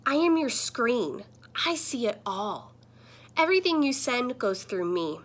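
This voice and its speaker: A woman voicing a friendly, earnest talking computer screen that gently scolds, in a children's picture book. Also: on the high side, big pitch swings, unhurried. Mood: earnest, warning. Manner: earnest, explanatory.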